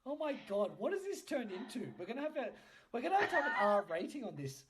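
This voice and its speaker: A man's voice: very high-pitched, big pitch swings, fast talker, heavy background noise. A man hosting a casual improvised comic role-play, asking questions in a mock-incredulous manner. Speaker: podcast host.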